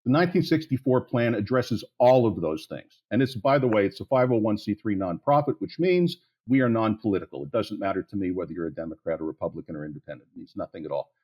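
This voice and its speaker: A man's voice: on the low side, expressive, quick pace, hardly any pauses, darkish tone, breathy edge. Man, sixties, podcast guest, blunt and candid, rambling, frequent restarts. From United States, Pittsburgh area. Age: 60s. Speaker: interview guest. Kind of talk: podcast interview.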